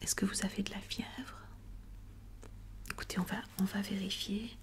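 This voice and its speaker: Woman, ASMR doctor roleplay. Whispered, breathy and even, with a gentle bedside manner. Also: mid-range voice, moderate pace.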